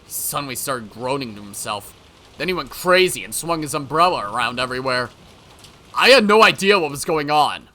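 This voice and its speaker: A man's voice: medium pitch, lively intonation, a little fast. A man voicing an unhinged male freelance writer in a visual novel, theatrical and volatile. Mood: frightened, angry. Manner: shouting, wailing, taunting, theatrical. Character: unhinged male freelance writer, shifting from panic to cold menace.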